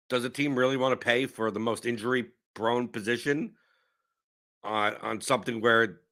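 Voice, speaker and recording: A young man, hoarse with a sore throat, hosting a casual fantasy sports livestream, rambling and cutting himself off. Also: medium pitch. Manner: animated, rambling, self-interrupting. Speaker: host of a fantasy sports stream.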